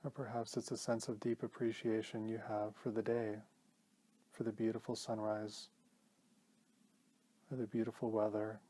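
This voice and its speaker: A man leading a guided meditation as a teacher, speaking in a calm, gentle, measured way with pauses. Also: on the low side.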